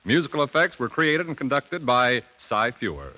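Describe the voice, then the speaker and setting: A man's voice: medium pitch, big pitch swings, moderate pace. An American man announcing scripted credits in a formal, dramatic 1950s radio style. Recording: muffled old broadcast under loud music. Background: loud music.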